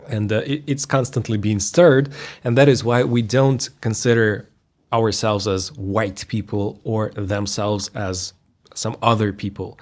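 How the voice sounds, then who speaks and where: A man from Russia with a Russian accent, a native Russian speaker, talking as an interview guest in an emphatic, blunt way. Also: on the low side. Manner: emphatic, blunt, mildly profane. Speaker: guest, tech reviewer. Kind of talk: interview conversation.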